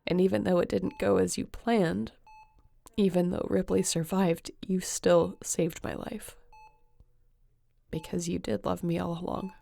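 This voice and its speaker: A woman acting an exhausted, guilt-ridden, devoted space adventurer in an intimate sci-fi audio roleplay, speaking earnestly and tenderly in halting phrases. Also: on the low side, little pitch movement, creaky, dark tone, exaggerated voice. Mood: anguished confession.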